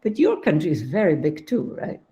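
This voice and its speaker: Older woman musing, lively warm voice. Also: sits low, fairly quick, dull audio. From Netherlands, raised in Latin America. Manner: thinking aloud, restarts, frequent "you know". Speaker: academic panel speaker. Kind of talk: unscripted academic talk.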